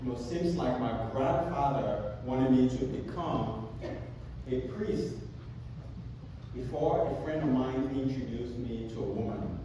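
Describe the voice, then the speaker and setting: A man in his thirties from Cameroon with a Cameroonian accent, giving an animated motivational keynote at a conference and telling his story with self-deprecating jokes. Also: medium pitch, unhurried, noisy background. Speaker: conference speaker. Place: large conference hall. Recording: echoey hall, speaker far from mic.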